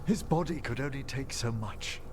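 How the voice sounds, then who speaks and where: A man's voice: medium pitch, animated, moderate pace, noisy background, natural voice. An actor playing a dignified older male necromancer in a video game, formal, eloquent and tender. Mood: grieving, solemn. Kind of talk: theatrical video game acting. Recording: music behind the voice.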